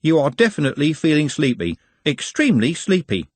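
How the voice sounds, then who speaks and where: A man's voice: on the high side, sing-song, brisk, dry recording, voice pushed up. A man voicing an unsure, plain-spoken working-class young man in an audiobook. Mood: reluctant, dutiful.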